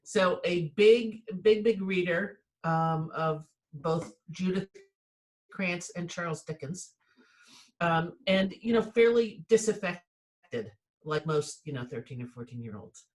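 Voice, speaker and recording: A woman who teaches writing, talking through a personal memory in a reflective, measured way. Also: on the low side, rough recording. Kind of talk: casual conversation.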